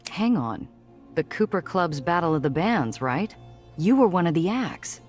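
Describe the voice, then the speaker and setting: An actress playing a tough, wry woman who narrates her own story in a game, with measured literary description and blunt asides. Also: fairly low pitch, sing-song, darkish tone, faint music behind. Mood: blunt, practical. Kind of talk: scripted first-person game narration.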